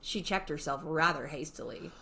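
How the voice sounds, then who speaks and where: A woman's voice: deep voice, moderate pace, sharp tone. A woman reading scripted storybook narration as an audiobook narrator.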